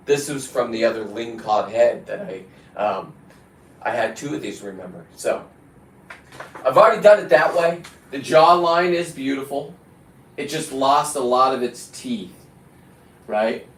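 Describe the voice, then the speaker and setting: A man hosting a casual livestream, talking in a halting, digressive way as he chats to someone off-screen and to his viewers. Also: medium pitch, expressive, a little hiss or hum. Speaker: live stream host. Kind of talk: casual, rambling hands-on livestream chat. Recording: echoey room, distant from the mic.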